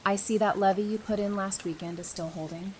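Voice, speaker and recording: Woman playing a playful survivor over noisy rumble. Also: steady tone, fairly quick, close to an everyday voice. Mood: curious. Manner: casual, playful. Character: warm, teasing, geeky woman in a post-apocalyptic survivor camp. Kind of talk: fan audio drama acting. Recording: noisy background with low rumble.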